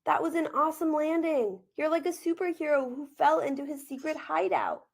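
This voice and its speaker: A woman voicing a cheerful, gentle four-year-old boy in a picture book read-aloud, soft and eager. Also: sits high, a little fast, creaky, voice pushed up. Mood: playful, encouraging.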